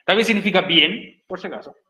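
A Chilean man teaches an online English class, chatty and joking, often asking his students if they follow. His voice sits high. It rings a little in a roomy space, picked up by a laptop mic. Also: fast talker, bright, forceful tone. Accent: Chilean Spanish. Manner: chatty, jokey, asks "¿cierto?" often. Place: home room on a video call.

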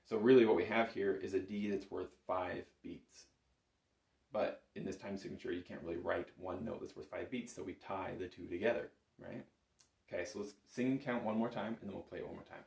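A man's voice: low-pitched, fairly even intonation, fairly quick, creaky, a little mellow. A middle-aged man giving an informal, explanatory music lesson to camera, recorded on a phone in a room. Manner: explanatory, often checking in with "right?". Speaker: bagpipe instructor. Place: small indoor music room with instruments on the walls. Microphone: phone camera a short distance away. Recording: roomy echo, phone recording.

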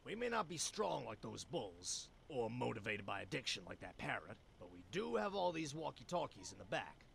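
Gravelly, animated little animal salesman. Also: mid-range voice, moderate pace, a little hiss or hum, heavily acted. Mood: scheming, upbeat. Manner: persuasive, salesman-like patter. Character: scheming small animal salesman, male, cheerful fast-talking persuader. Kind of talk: cartoon adventure game voice acting.

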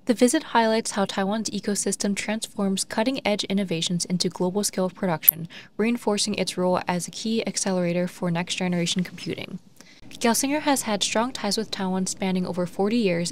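A woman with a medium-pitched voice narrates a scripted news report. Her reading is measured and formal, over faint background noise.

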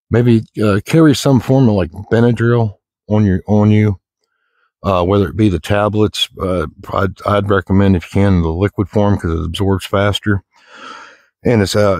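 Middle-aged American man, low voice, folksy and rambling outdoor vlogger, dry open-air sound. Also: darkish tone, no room sound. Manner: folksy, plain-spoken, rambling. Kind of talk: casual walk-and-talk chat. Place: outdoors in the woods, walking.

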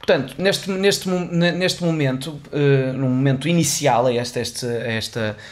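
A man's voice: mid-range voice, measured pace. Young Portuguese man explaining, chatty restarts. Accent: European Portuguese. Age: young adult. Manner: chatty, many restarts and fillers, gestures while talking. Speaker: host of an educational video series. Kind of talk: casual explainer monologue on finance history. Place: small studio room with dark wall, indoors. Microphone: studio condenser mic on boom arm, headphones on.

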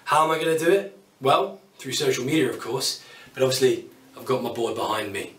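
A man's voice: medium pitch, fairly quick, a bit bright, distant mic. British man, thirties, casual upbeat woodworking vlog, roomy workshop echo, voice a bit off-mic. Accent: British English. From United Kingdom. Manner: chatty, upbeat, self-deprecating asides. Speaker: woodworking YouTuber. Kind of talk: casual tool walkthrough to camera. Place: small home workshop, indoors. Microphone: camera mic at a distance.

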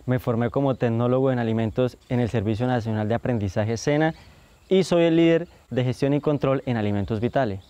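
A man's voice: mid-range voice, brisk, mellow, clean tone. Colombian man in his twenties, presenting. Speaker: quality control leader of a small food business. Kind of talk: prepared presentation to camera. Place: outdoors in countryside.

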